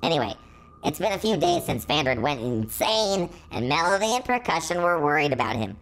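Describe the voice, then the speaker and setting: A woman voicing an excitable musical robot who reads stories aloud and reacts with dramatic astonishment. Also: low-pitched, fairly quick, slight background noise, rough recording. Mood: dramatic storytelling. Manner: exclamatory, dramatic, easily astonished. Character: excitable musical robot reading fan fiction about himself. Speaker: channel host. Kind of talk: animated story reading with reactions.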